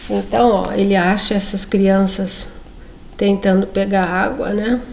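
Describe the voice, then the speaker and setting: A woman's voice: medium pitch, fairly even intonation, a little slow, gravelly, darkish tone, a little hiss or hum. A woman presenting a comic book to her viewers in a casual, chatty way, often checking in with them. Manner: chatty, addresses viewers, frequent tag questions. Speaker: book reviewer. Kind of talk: casual presentation reading comic excerpts aloud.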